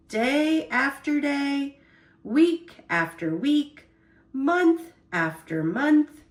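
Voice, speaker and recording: A woman storyteller reading a children's picture book aloud in an expressive, deliberate way. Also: high voice, reverberant.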